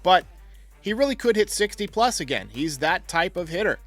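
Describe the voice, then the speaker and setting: A man with a slightly high voice hosts a sports talk show over faint music. He is opinionated and talks his way through each option in a conversational commentary style. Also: sing-song, normal speed, a bit bright.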